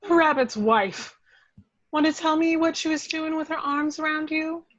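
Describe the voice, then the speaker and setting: A woman's voice: sits high, steady tone, normal speed, close to an everyday voice. A woman playing a detective's wry, jealous girlfriend in an amateur table read, with a sarcastic delivery. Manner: dry, sarcastic. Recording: slightly dull home recording.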